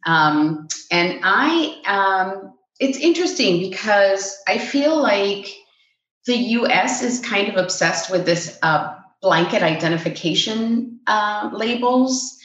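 A woman's voice: medium pitch, expressive, slow, fairly bright tone, reverberant, muffled recording. A woman in her late fifties from Puerto Rico, speaking thoughtfully as a guest on a panel, with many hesitations. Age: 50s. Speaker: guest consultant. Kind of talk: casual panel conversation.

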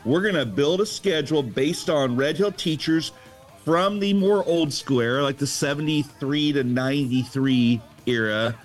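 Man, podcast host, slightly high voice, upbeat, explains the rules, faint music behind. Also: normal speed. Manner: upbeat and explanatory, walks listeners through the rules. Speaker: podcast host running a draft lottery. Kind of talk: casual podcast chat. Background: music playing behind him.

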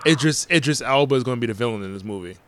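A man's voice: medium pitch, animated, racing, fairly bright tone. A man in his forties hosting a casual podcast chat, rambling through news items with lots of hesitant ums. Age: 40s. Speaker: podcast host.

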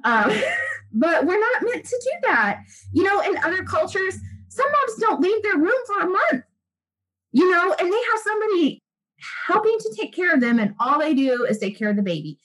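Woman, high voice, earnest chatty guest talking frankly with plenty of "you know"s. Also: very animated, moderate pace, fairly bright tone, poor audio. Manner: earnest, chatty, lots of fillers. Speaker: guest, breastfeeding expert. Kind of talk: casual interview talk.

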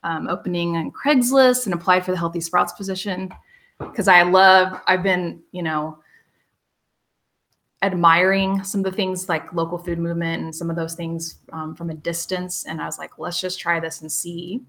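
A woman from southwest Missouri, a program director, speaking in a measured interview. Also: on the low side, fairly even intonation, fairly bright tone. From Southwest Missouri, USA. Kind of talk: casual interview.

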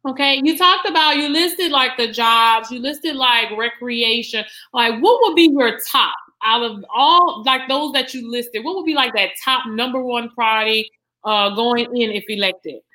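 A woman's voice: a little high, unhurried, bright, forceful tone, poor audio. A woman moderating a candidate forum, asking questions casually with lots of fillers and summing up answers. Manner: casual, many "like" fillers, checks understanding. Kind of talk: interview questions.

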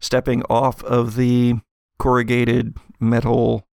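Male game master, mid-pitched creaky voice, halting, informal, improvised narration, dry close recording. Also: completely flat delivery, a little mellow, no room sound.

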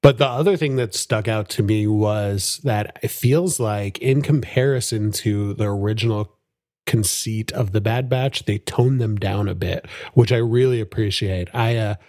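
A young man co-hosting a podcast in casual, upbeat chat, laughing often and tossing in quick asides. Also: lowish voice, a little mellow, dry recording, clean studio sound. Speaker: podcast co-host.

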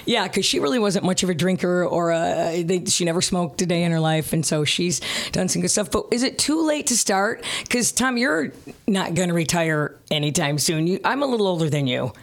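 A woman's voice: lowish voice, lively intonation, a little fast. A woman in her late fifties hosting a casual interview, informal and joking, often at her own expense. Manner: joking, self-deprecating, informal. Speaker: host.

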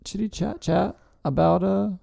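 A woman's voice: mid-range voice, steady tone, unhurried, gravelly, mellow, no echo. A woman co-hosting a podcast, chatting casually and teasing her partner with jokes. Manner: joking, teasing. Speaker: podcast co-host. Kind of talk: casual chat.

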